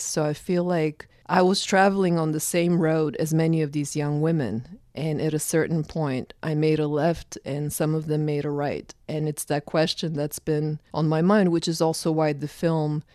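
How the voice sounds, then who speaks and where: A woman's voice: sits low, fairly even intonation, moderate pace, few pauses, dark tone, no echo, clean studio sound. A woman from Bulgaria, a documentary filmmaker, answering interview questions in an earnest, emphatic way.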